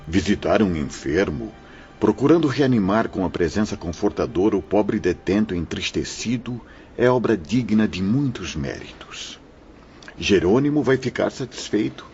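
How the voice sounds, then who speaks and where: An actor playing a kindly, grave priest in an audio drama, speaking in a measured, paternal, sermonizing way. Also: male voice, fairly low pitch, animated, a little mellow, soft background music. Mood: fatherly, pleased. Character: kindly, grave priest guiding troubled souls. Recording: muffled audio with clear background noise.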